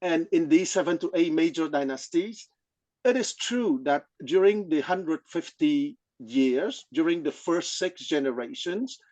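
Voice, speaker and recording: Halting, explanatory man, close dry sound. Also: a little high, darkish tone, no echo, poor audio. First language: not English. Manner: explanatory, halting, checks with "okay?". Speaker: study group participant. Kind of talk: informal scholarly discussion.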